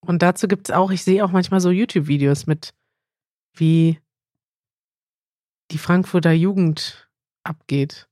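A woman's voice: lowish voice, fairly even intonation, a little slow, long pauses, mellow, no echo, studio quality. A woman, chatting informally as a podcast co-host. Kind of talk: casual conversational podcast chat.

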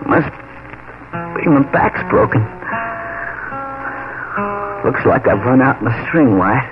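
An actor playing a dying young frontier lawman, halting and stammering with weak breath, in a 1940s radio play. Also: mid-range voice, lively intonation. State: wounded, fading breath. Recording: tinny old radio broadcast with music.

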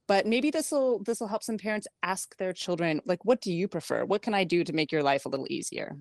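A woman's voice: lowish voice, lively intonation, brisk, no room sound, studio quality. A woman tech reporter, a radio guest, talking in a chatty, wry way and laughing easily. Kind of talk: radio interview.

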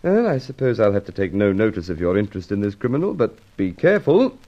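A bluff, genial police detective from an old radio drama. He is hearty and sceptical, with lively swings in pitch and a teasing bluster. The sound is dull and lo-fi. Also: fast. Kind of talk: old radio serial acting.

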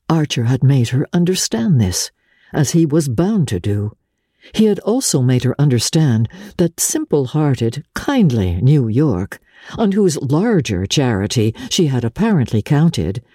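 A woman with a husky voice giving a measured, dramatic reading of literary fiction in deliberate, expressive phrases. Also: low-pitched, dry recording, clean studio sound. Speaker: reader of classic fiction. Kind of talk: dramatic literary audiobook narration.

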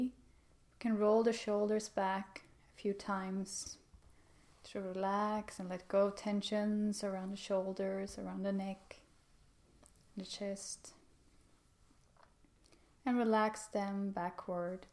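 Female meditation guide, mid-pitched and level, gentle, unhurried instruction with room to breathe. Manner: calm, gentle, unhurried with pauses.

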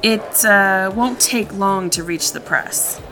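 A woman's voice: medium pitch, takes it slowly, music in the background, natural voice. An actress playing a businesslike police detective in an audio drama, formal and careful as she tactfully questions someone. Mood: businesslike, probing. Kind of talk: naturalistic audio drama dialogue.

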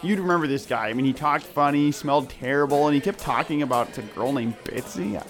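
A woman's voice: low-pitched, fairly quick, faint music behind, close to an everyday voice. A woman voicing a nervous, chatty young woman on her first day at a job, fumbling her words. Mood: flustered. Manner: fumbling and talkative.

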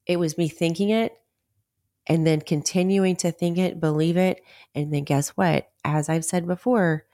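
A middle-aged woman hosting a solo podcast, her voice raspy because she is nearly losing it. She talks bluntly and swears freely. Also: low voice, a little mellow, dry recording, clean studio sound. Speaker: podcast host. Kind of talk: casual solo podcast talk.

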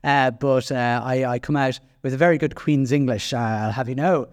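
A man's voice: medium pitch, steady tone, moderate pace, dry recording, studio quality. A man with an Irish accent hosting a solo podcast, chatty and self-deprecating, with frequent fillers and restarts. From Ireland. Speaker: podcast host.

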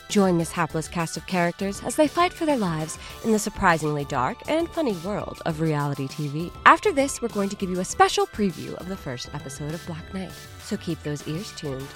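A woman's voice: fairly low pitch, big pitch swings, moderate pace, hardly any pauses, fairly bright tone, faint music behind. A woman hosting a podcast, reading a playful scripted promo in a theatrical, mock-casual way.

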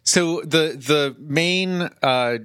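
A man hosting a podcast in casual chat, animated and often laughing. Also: on the high side, drawn-out words, smooth, no room sound, clean studio sound. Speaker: podcast host.

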